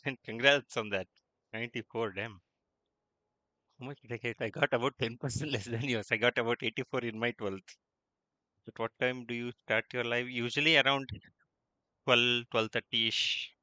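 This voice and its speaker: Bright-voiced man streaming. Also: mid-range voice, steady tone, dry recording, dull audio. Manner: halting, repeats himself. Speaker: game streamer. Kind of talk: casual livestream chat and reactions. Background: game music.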